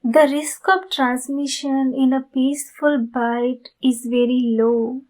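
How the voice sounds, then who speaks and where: A woman playing a courteous, earnest female vampire in an ASMR roleplay, speaking formally to the listener with halting phrasing. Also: sits high, fairly even intonation, a little mellow. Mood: calm, explaining. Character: polite, pleading female vampire in need of blood.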